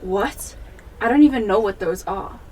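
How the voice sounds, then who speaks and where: A woman's voice: mid-range voice, moderate pace, dark tone, husky, lightly acted. A teenage woman playing a defensive, pleading burglary suspect in an amateur radio play. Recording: distant from the microphone.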